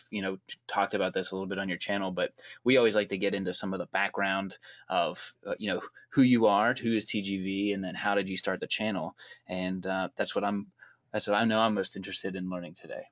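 Host: deep-voiced man, easygoing rambling questions, muffled audio. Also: fairly even intonation, a little fast. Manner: friendly, rambling questions with fillers. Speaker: podcast host. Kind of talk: casual interview chat.

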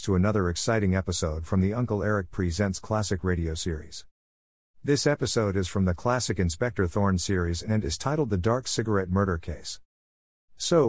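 A man hosts with a scripted podcast introduction. His voice is very low, recorded close and dry. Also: a little mellow, dry recording. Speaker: podcast host.

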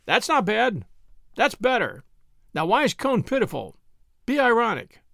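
A man's voice: extremely high pitch, very animated, gravelly, a bit bright, dry recording, clean studio sound, pitched above the performer's own voice, heavily acted. A man voicing a teasing, exuberant male friend in an audiobook, needling in a mock-grandiose way. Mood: mock scolding. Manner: mock-grandiose, needling, rapid-fire exclamations. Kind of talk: audiobook character dialogue.

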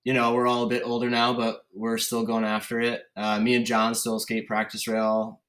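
A man's voice: medium pitch, monotone, moderate pace, fairly bright tone. A man in his thirties from Connecticut, talking casually about his own life as a podcast guest. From Connecticut, United States. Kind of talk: casual chat, personal storytelling.

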